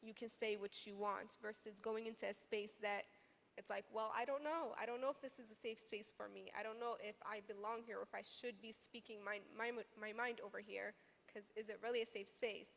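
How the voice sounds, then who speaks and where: A woman's voice: mid-range voice, fairly even intonation, a little fast, gravelly, a bit bright. A woman in her twenties from Iraq speaking earnestly and conversationally as a panelist, often saying "like" and "you know". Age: 20s. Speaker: youth advocacy panelist and educator. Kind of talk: panel discussion, personal and conversational. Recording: reverberant hall with background noise.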